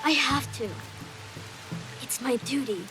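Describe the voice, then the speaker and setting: A woman's voice: high-pitched, takes it slowly, music in the background. A woman voicing an earnest, respectful young boy who studies under a samurai in a video game. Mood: solemn, determined. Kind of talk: video game cutscene acting.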